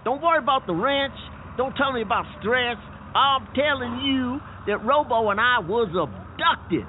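A man doing a frantic, stammering high-voiced garage boss. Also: animated, unhurried. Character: excitable, flustered male garage boss. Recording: tinny phone-like audio with faint noise.

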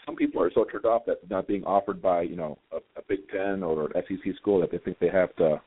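A hoarse man calling in as a guest on a radio show, talking haltingly with a lot of fillers. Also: low voice, fairly even intonation, no echo. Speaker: prep school guest. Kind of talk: phone interview. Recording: dry, tinny phone line.

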